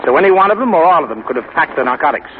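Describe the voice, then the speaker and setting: An actor playing an earnest, businesslike federal narcotics agent briefing someone in a 1950s radio drama. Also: male voice, fairly high pitch, very animated, racing. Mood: earnest, explaining. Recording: noisy, tinny 1950 radio recording.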